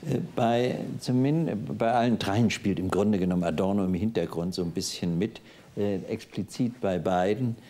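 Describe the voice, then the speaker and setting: A man in his sixties with a low, warm, mellow voice hosts a literary discussion on TV. He talks in a thoughtful, wandering way, pausing with frequent hesitations. He is heard in a studio before a live audience. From East Germany. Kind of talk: televised panel discussion.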